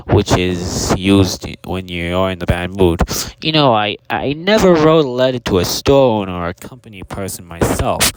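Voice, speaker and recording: A man's voice: lowish voice, close to an everyday voice. A man voicing a forgetful, kindly storyteller hippo who rambles formally and keeps losing his thread. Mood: rambling. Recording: very noisy amateur recording with clicks.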